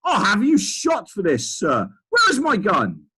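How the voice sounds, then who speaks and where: A man's voice: high-pitched, wildly swooping pitch, measured pace, husky, voice pushed up, heavily acted. A man voicing a pompous, short-tempered old duke who bellows and splutters. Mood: furious shouting. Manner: blustering, shouting.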